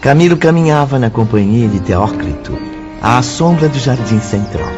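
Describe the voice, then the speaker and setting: A man's voice: medium pitch, lively intonation, normal speed. A man with a Brazilian accent narrating a scripted radio drama in a formal, literary manner. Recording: dull lo-fi audio, music bed.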